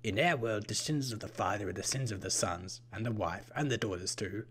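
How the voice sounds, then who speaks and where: A man voicing a menacing, haughty vampire-like killer in a story, with a husky, breathy voice and an odd, deliberate way of talking. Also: on the low side, close to an everyday voice. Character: menacing, haughty purple-skinned vampire-like killer, male, unhurried.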